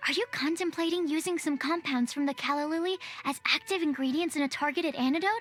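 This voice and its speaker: A shy young alchemist's assistant with a very high, soft voice, timid and overly polite. She hesitates and stammers at times. Faint music plays behind her. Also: fast. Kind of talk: game cutscene voice acting.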